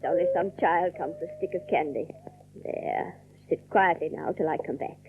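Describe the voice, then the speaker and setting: An actress playing an anxious, devoted older spinster in an audio drama, tremulous and pleading in manner. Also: female voice, on the low side, moderate pace, slight background noise, close to an everyday voice. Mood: soothing, sorrowful. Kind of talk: theatrical audio drama acting. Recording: muffled, thin old radio-drama recording.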